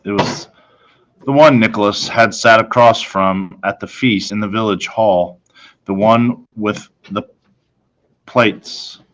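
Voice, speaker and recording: A man reading a children's novel aloud expressively, with dramatic, deliberate phrasing. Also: lowish voice, a bit bright, poor audio. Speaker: story reader, teacher.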